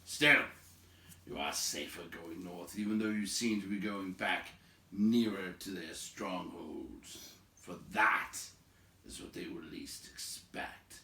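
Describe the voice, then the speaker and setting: A gruff bear-man out of a fantasy storybook, acted out by a male reader, handing down stern warnings. He draws out his words and commands with heavy emphasis. The voice is fairly low and rings in a roomy space. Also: sharp tone, full character voice. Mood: gruff, commanding. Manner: slow, emphatic, commanding delivery with drawn-out words. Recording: roomy, echoing home recording.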